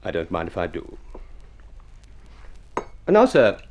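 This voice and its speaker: An actor playing an ugly, eloquent, self-mocking adventurer in an urbane, florid radio drama style. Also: male voice, low voice, wildly swooping pitch, measured pace, darkish tone, dull audio, a little hiss or hum, heavily acted. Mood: wry, boastful. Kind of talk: mid-century radio drama acting. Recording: vintage radio drama recording.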